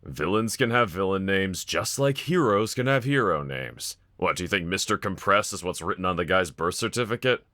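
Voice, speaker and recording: A man's voice: deep voice, lively intonation, moderate pace, hardly any pauses, sharp tone, no echo, clean studio sound. A man voicing a wanted young male villain in a fan audio roleplay, talking to one listener in a casual, sardonic, teasing drawl. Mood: incredulous, sardonic.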